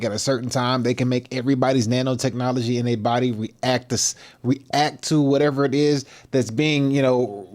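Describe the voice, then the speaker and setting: A man's voice: mid-range voice, a little mellow. A man hosting a livestream, reacting with loose, speculative commentary full of fillers, restarts and slang. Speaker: livestream host reacting to a video. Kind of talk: casual rambling commentary.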